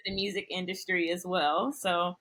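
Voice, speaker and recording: A woman's voice: lowish voice, level tone, moderate pace, fairly bright tone. Woman podcast host, friendly. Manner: friendly, gushing praise of guest. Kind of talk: podcast interview.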